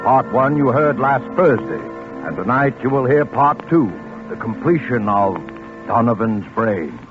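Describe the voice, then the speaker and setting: An actor playing a mysterious, solemn host who formally introduces thrillers on 1940s radio, in an old broadcast recording. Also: male voice, medium pitch, animated, unhurried, music in the background, lightly acted. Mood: solemn, suspenseful. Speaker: host of a suspense anthology. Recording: tinny, band-limited 1940s radio recording.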